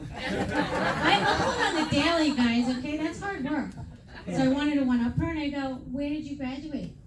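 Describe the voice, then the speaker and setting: This is a brash middle-aged woman from New York with a high voice, delivering stand-up with self-mocking punchlines. She is recorded from a distance in an echoey club. A loud crowd laughs all around her. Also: normal speed, very noisy. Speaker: stand-up comedian. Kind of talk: stand-up comedy set. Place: comedy venue with a live audience. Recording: echoey room, mic heard from afar, loud crowd laughter.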